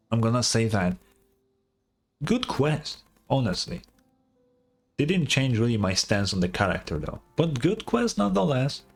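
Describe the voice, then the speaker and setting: A man giving casual, blunt, sweary reaction commentary as a game streamer. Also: lowish voice.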